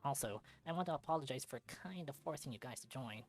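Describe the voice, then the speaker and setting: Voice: medium pitch, lively intonation, quick pace, soft background music, natural voice. A performer voicing an earnest, slightly officious young male fox who heads a school science club. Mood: apologetic.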